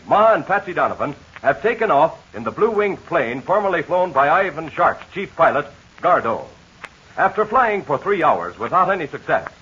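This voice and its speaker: A man's voice: a little high, bright, forceful tone, husky. A man announcing in a 1940s radio style, emphatic. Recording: old lo-fi radio transcription.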